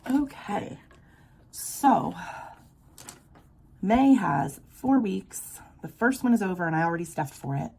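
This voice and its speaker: A woman with a sore, scratchy throat, quietly counting bills aloud in a casual budgeting vlog. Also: medium pitch, lively intonation, takes it slowly, vocal fry, long pauses, breathy edge. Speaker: budgeting vlogger. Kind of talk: casual cash-stuffing walkthrough.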